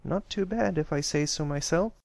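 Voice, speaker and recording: Man voicing a brash high-pitched pony filly. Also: normal speed, vocal fry, a little mellow, no room sound. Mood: proud, smug. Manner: complaining, bossy. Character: brash, bossy young pony filly, impatient and proud.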